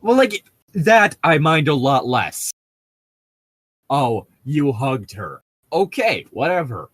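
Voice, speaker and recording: A man's voice: fairly high pitch, sing-song, slow pace, a bit bright, slight background noise. A young man in casual, joking, profane chat as a co-host reading a book aloud with commentary. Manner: profane, bantering.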